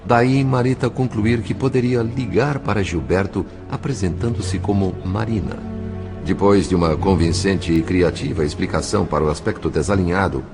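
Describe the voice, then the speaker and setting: A man with a Brazilian accent narrating an audio drama from a script in formal, measured, dramatic phrasing. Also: sits low. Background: music.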